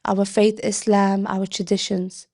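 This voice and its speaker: A woman poet from Britain giving a spoken-word reading, delivered in deliberate, expressive, measured phrases. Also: medium pitch. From Britain, of Yemeni family.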